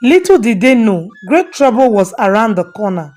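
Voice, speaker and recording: A woman's voice: on the high side, sing-song, moderate pace, a little mellow. A woman storyteller giving a scripted narration of a folktale. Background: faint music.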